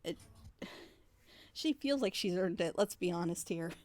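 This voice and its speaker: A woman's voice: lowish voice, expressive, takes it slowly, darkish tone, dry recording. A woman giving a casual, opinionated episode critique in a blunt, exasperated and sarcastic way. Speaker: reviewer in a group reaction show.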